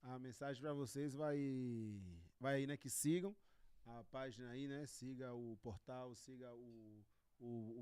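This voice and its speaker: A man from Bahia with a Bahian accent, a martial arts master and podcast guest, talking in a relaxed, friendly way with a lot of fillers. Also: mid-range voice. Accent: Bahian Portuguese. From Bahia, Brazil. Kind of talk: podcast interview. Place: studio.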